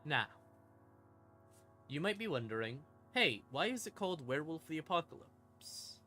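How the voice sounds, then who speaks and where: A man hosting a stream and explaining game lore in a casual monologue, with theatrical, deliberate pauses between short phrases. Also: fairly high pitch, very animated, pushed and bright. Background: ambient music in the background.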